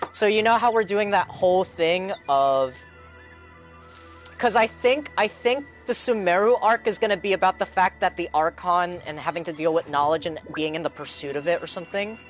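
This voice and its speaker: A man's voice: a little high, a bit bright. Young guy on a game stream, rambly n enthusiastic, repeats himself, faint music behind. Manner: rambling, repeats phrases, earnest fan enthusiasm. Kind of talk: casual live-stream chat, game reaction.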